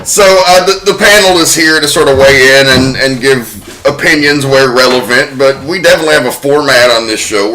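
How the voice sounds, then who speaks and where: A man's voice: medium pitch, sharp tone, slight background noise, rough recording. A man hosting a podcast, chatting casually and telling a story with the odd swear word thrown in. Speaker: podcast host.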